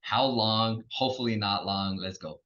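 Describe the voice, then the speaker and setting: Chill texas guy, low bright voice, kinda muffled lo-fi audio. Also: moderate pace. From Texas, USA. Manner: laid-back, many fillers like um and man. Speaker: podcast guest, coach. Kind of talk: casual interview chat.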